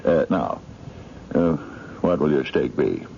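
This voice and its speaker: Mysterious courtly eccentric, radio drama, gravelly and formal, on a dull, noisy old recording. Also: male voice, extremely low pitch, animated, slow, mellow, heavily acted. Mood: courtly, sly. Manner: formal, hesitant, insistent, courteous. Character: mysterious, eccentric chess-playing gentleman, coaxing and courtly.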